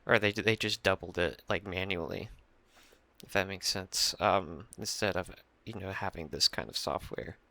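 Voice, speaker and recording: Gravelly low-voiced young man. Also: normal speed, pushed and bright. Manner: rambling, many fillers and restarts. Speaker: music producer explaining a mix. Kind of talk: casual screen-share tutorial. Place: small room at a computer.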